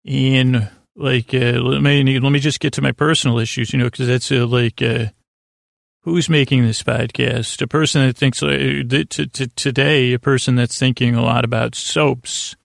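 A man hosting a sleep podcast, rambling in a halting, stammering, self-deprecating way that keeps circling back on itself. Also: medium pitch, steady tone, creaky, no echo, clean studio sound. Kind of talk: rambling bedtime monologue.

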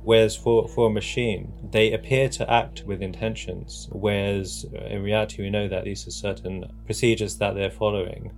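A man giving measured, reflective answers as an interviewed academic expert, in formal scholarly wording. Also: on the low side, flat delivery, faint music behind. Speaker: interviewed expert on religion and technology.

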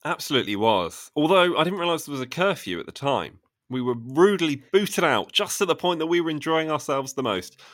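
A man's voice: on the high side, very animated, fairly quick, a bit bright, dry recording, studio quality. A man co-hosting a podcast, chatting in a self-mocking way with many restarts. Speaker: podcast co-host. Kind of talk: casual podcast chat.

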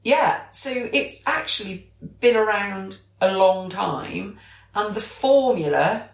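A woman's voice: mid-range voice, expressive, slow, creaky. A British woman co-hosting a podcast in casual, chatty conversation, explaining things as she goes. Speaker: podcast co-host. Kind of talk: casual chat. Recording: roomy, echoey sound.

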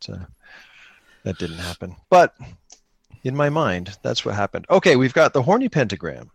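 A man's voice: mid-range voice, very animated, moderate pace, creaky, husky, dry recording. A man co-running an improvised tabletop game, narrating casually and thinking aloud in a joking way. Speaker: co-player running a solo-engine tabletop game.

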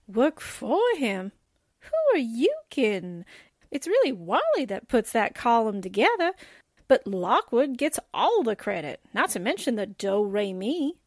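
A woman's voice: fairly high pitch, big pitch swings, measured pace, dry recording, clean studio sound, exaggerated voice. A woman playing a wisecracking, sardonic newspaperwoman, full of slangy quips, in 1940s radio drama style. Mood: teasing, sardonic. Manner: sassy, slangy exclamations and quips.

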